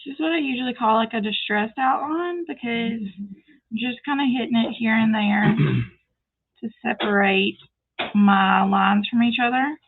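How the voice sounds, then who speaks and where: A woman's voice: on the high side, unhurried. Southern lady painting crafts, chatty, lots of ums, sounds like a phone line. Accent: Southern US, North Louisiana. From North Louisiana. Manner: chatty, explains as she paints, frequent fillers. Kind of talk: casual painting tutorial.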